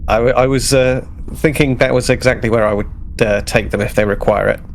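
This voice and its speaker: Guy playing a tabletop rpg, gravelly fry, halting improvised lines, faint noise behind. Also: medium pitch, fairly even intonation. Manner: hesitant, halting. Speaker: tabletop game player. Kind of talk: improvised roleplay and narration.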